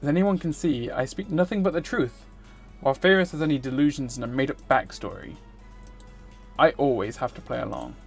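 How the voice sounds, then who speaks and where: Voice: medium pitch, a little fast, natural voice. Self-important young man, read by a streamer, dramatic, grumbling, game character, music playing behind. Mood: pompous, exasperated.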